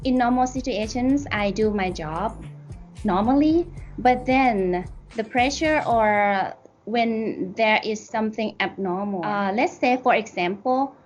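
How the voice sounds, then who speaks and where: A woman's voice: medium pitch, lo-fi sound. Woman explaining over music. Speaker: air traffic controller being interviewed. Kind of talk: explanatory interview answers. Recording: soft music behind the voice.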